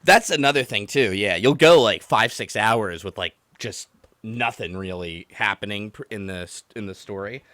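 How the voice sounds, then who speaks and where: A man's voice: fairly low pitch, moderate pace, dry recording, studio quality. A man hosting a livestream, ranting casually about a game in an exasperated, rambling, profane way. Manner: exasperated, animated, rambling, mimicking others in mock quotes. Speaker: podcast and livestream host taking callers.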